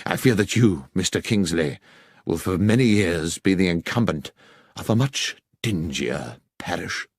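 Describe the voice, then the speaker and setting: A man voicing a stern, authoritative bishop in an audiobook, measured as he passes judgement. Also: sits low, lively intonation, husky, no room sound, full character voice. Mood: stern, grave. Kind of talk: audiobook character acting.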